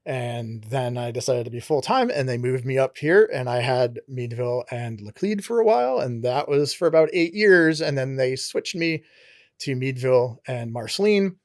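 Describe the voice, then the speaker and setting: Earnest pastor with a Connecticut accent. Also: male voice, mid-range voice, animated, normal speed, no room sound, studio quality. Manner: earnest, reflective storytelling. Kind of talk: casual interview talk.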